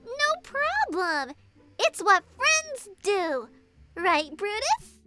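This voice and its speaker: A tiny cartoon kitten girl, extremely high and bright. She is naive, sweet and excitable, with a childlike, cutesy delivery and lively swings over faint music. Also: extremely slow, dry recording, full character voice. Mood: sweet, chatty. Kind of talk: comic dub cartoon acting.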